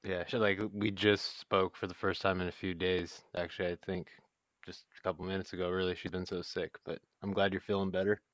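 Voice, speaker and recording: Low-voiced man co-hosting a podcast, dry sound. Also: flat delivery, fairly quick, fairly bright tone, no echo. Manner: loose, hesitant, lots of "uh" and "like". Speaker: podcast co-host. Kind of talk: casual podcast chat.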